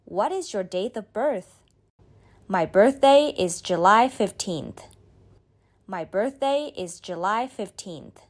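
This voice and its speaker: A woman reading a beginner's speaking drill, saying each line slowly and clearly, then repeating it. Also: mid-range voice, expressive. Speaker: model speaker in a language drill. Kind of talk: slow scripted drill reading.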